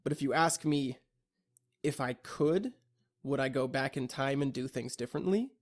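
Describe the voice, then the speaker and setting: A young adult man delivering a scripted, reflective personal monologue in an introspective, deliberate and earnest way. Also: mid-range voice. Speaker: audio log narrator.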